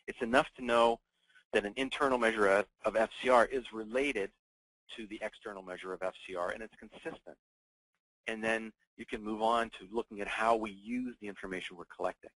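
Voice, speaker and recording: A man hosting a business webinar, explaining terms in a measured way before handing over to a guest. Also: on the low side, a bit bright, dry recording, low-quality recording. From North America. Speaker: webinar host.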